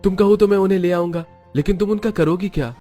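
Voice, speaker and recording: A man's voice: high voice, quick pace, warm tone, faint music behind, pitched above the performer's own voice, lightly acted. A man voicing a mild working-class village husband in a cartoon story. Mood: agreeable, curious.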